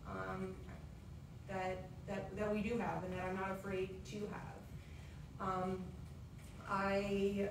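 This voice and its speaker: Woman in her 30s, commissioner giving her pitch, steady and flat, lots of ums, really echoey room, mic far off, noisy. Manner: earnest, steady, with frequent ums. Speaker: city commissioner. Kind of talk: candidate forum opening statement.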